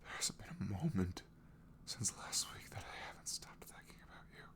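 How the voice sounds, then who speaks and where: A man playing an apologetic, vulnerable ex-boyfriend in an intimate ASMR roleplay, with a breathy half-whisper and a hesitant, emotional delivery. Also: normal speed, lightly acted. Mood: tearful, longing.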